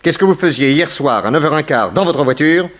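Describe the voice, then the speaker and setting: An actor playing a shrewd, insistent police investigator in a 1960s French radio drama, with pointed, authoritative questioning. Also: male voice, a little high, lively intonation, fairly quick, a bit bright. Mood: stern, pressing.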